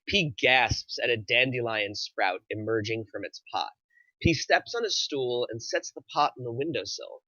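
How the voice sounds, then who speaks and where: Bright, forceful male screenplay narrator. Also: medium pitch, normal speed, no room sound. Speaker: narrator reading stage directions. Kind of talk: scripted narration at a table read. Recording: slightly dull, dry recording.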